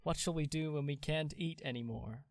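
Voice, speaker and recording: A woman's voice: deep voice, normal speed, no echo. A woman voicing a cheerful, teasing young man in an audio drama, breezy and friendly, with a dry close sound.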